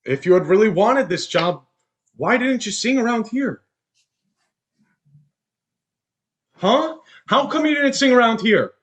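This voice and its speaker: A young husband played by a male student actor in a stage drama, controlling and insecure. His pitch swings widely as he belittles his wife. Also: lively intonation, moderate pace, fairly bright tone. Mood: angry, incredulous. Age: young adult. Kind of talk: stage play dialogue.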